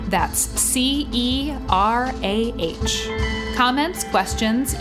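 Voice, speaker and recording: A woman with a medium-pitched voice reads scripted podcast credits. Her delivery is deadpan, with dry humour in the wording. Music runs clearly behind her.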